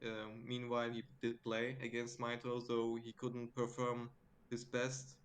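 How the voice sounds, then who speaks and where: A man casting a match in English, which is not his first language, speaking hesitantly with many fillers and soft laughs. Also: mid-range voice, completely flat delivery, unhurried. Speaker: esports co-caster. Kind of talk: casual pre-show chat.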